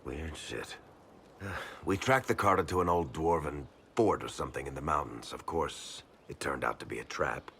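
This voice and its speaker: A man's voice: a bass voice, animated, moderate pace, a bit bright, slight background noise, heavily acted. An actor playing a wry, world-weary man in a fantasy game, telling things in a casual, frank and emphatic way. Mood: uneasy, wry. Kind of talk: video game voice acting.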